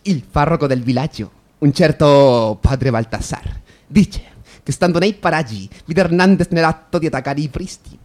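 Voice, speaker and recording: A man's voice: fairly high pitch, moderate pace, a little mellow, close to an everyday voice. An actor playing a dutiful male clerk who reports deferentially to his superior in a radio play. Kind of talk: theatrical radio drama acting.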